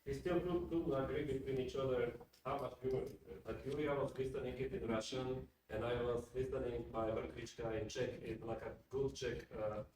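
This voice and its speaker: A man's voice: mid-range voice, fairly even intonation, moderate pace, hardly any pauses. A man in a book club discussion, hesitantly searching for his words. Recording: echoey room from far off, noisy.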